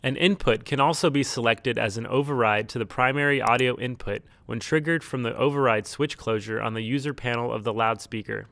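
Dry, measured male tutorial narrator. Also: medium pitch, gravelly, a bit bright, dry recording. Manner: measured, neutral step-by-step explaining. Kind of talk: scripted instructional narration. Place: close, dry studio-style voice-over recording.

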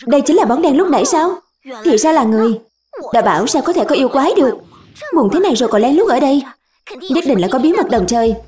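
A woman voicing excitable young spinning-top players in a dubbed cartoon, with a dramatic, exclamatory delivery. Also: high voice, fast. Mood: excited, bickering. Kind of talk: animated cartoon dub, several characters under one speaker number.

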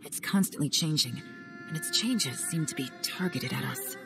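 A woman's voice: sits low, wildly swooping pitch, normal speed, over music. A woman voicing a composed secret agent in a game, dry and confident. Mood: cool. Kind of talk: game cutscene voice acting.

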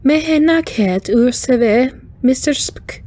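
Woman voicing a high, cheerful alien spider engineer. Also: expressive, darkish tone, heavily acted. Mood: merry, courteous. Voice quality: high, light, chiming. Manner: brisk, precise, courteous, slightly formal. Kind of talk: audiobook character voice.

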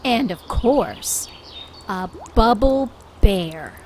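Very slow, lively woman reading to children. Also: mid-range voice. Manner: expressive, deliberate, with drawn-out emphasis. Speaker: children's story reader. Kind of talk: read-aloud storybook narration for young children. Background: background music.

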